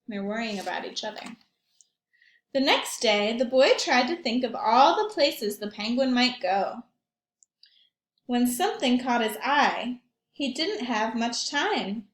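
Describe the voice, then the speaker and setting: A woman teacher reading aloud and talking to young children in an expressive storytelling manner, with questions and asides. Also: a little high, measured pace, echoey room. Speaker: primary school teacher reading aloud to pupils.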